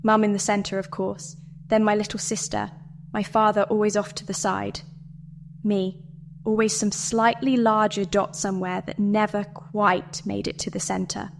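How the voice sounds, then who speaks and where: A woman delivering a scripted guided meditation and dramatic monologue in a measured, deliberate way with pauses. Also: medium pitch, little pitch movement, vocal fry, slight background noise. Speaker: meditation narrator.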